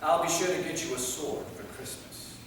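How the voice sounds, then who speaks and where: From far off in an echoing hall comes a man acting as a medieval vampire knight in a stage comedy. He is dry and terse, his voice on the higher side. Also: normal speed, close to an everyday voice. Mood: dry, impatient. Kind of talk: staged reading of a comic play. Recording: echoey hall from far off, noisy.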